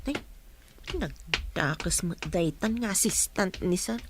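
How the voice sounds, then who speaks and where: A woman's voice: on the low side, slow pace, slight background noise. An actress playing a polite, deferential female household helper in a radio drama.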